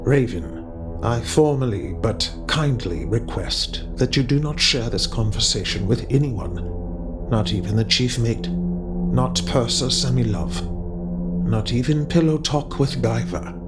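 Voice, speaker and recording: A man playing a formal, courteous circus leader in an audio drama, speaking in a measured and polite but firm way. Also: mid-range voice, expressive, loud music behind the voice, lightly acted. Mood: grave, formal. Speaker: voice actor. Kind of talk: theatrical audio drama acting.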